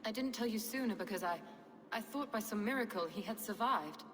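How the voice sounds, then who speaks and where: A woman's voice: mid-range voice, a little fast, fairly bright tone, natural voice. Woman as emotionally charged noblewoman, echoing hall. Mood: sorrowful, apologetic. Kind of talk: dramatic video game acting. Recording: reverberant hall, faint background noise.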